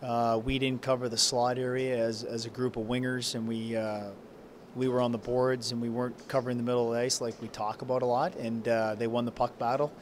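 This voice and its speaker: A man in his forties, a head coach, answering post-game press questions in a halting, subdued way with many fillers. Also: mid-range voice, level tone, a little hiss or hum. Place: press room backdrop. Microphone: handheld reporter mics held close.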